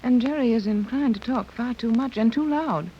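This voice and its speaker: A woman's voice: a little high, normal speed, mellow, close to an everyday voice. A woman playing a worried, motherly lady. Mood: anxious. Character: worried, motherly middle-aged woman Mrs. Gregory. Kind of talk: 1930s radio serial acting. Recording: muffled old radio recording with faint hiss.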